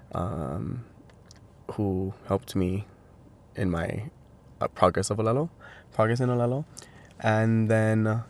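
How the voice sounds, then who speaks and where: A young man from Hawaii answering interview questions, hesitant with many fillers and restarts. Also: on the low side. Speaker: interviewee, language teacher.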